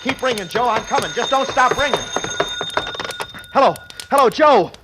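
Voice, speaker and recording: A man's voice: extremely high pitch, expressive, moderate pace. Panicked man in a noisy radio thriller. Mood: desperate, irritated. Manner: tense, urgent, clipped short sentences. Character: panicked, desperate businessman hiding from a killer, narrating his ordeal. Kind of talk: 1940s radio thriller acting, first-person narration. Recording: noisy old-time radio recording.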